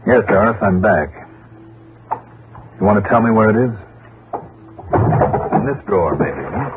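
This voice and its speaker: A man's voice: lowish voice, lively intonation, measured pace, very noisy. An actor playing a shrewd, dogged male insurance investigator who also narrates in a 1960s radio drama. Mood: grim, accusing. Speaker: lead actor and narrator of a detective radio series. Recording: dull old radio recording with music.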